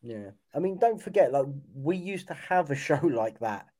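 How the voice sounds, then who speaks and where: A British man in his thirties with a dark, mellow tone trades sarcastic grumbles with his co-hosts. He talks casually, with quick dry asides, like someone who has a lot to moan about. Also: on the high side, sing-song. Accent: British English. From United Kingdom. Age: 30s. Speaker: podcast co-host. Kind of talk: casual chat.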